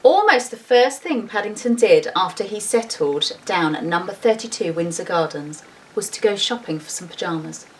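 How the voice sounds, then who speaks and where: A woman's voice: mid-range voice, expressive, moderate pace. A woman reading a storybook to children expressively, with chatty, informal asides as she shows the pictures. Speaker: school teacher reading a storybook aloud. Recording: distant mic with faint background noise.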